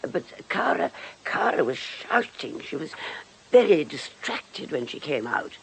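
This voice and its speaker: Genteel, flustered spinster, radio drama. Fussy, faltering delivery in a raised pitch, faint noise behind. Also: male voice, very animated, a little slow, gravelly, fairly bright tone, full character voice. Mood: flustered, confiding. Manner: hesitant, muddled, prim. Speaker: performer in a radio detective drama.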